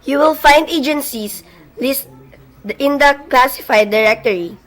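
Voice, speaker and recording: An impassive switchboard operator, acted by a young woman in an amateur radio play. The voice is high-pitched, with polite, formal, measured phrases. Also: a bit bright, poor audio.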